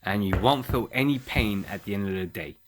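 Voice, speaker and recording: A man giving an upbeat product pitch, salesy and talking straight to the listeners. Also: a little fast, slight background noise. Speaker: ad presenter.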